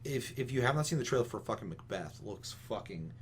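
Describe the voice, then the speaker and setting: A man's voice: mid-range voice, lively intonation, brisk, a little hiss or hum. A man co-hosting a casual gaming talk show, ranting with strong opinions in an emphatic, rambling, swear-heavy way. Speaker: podcast co-host.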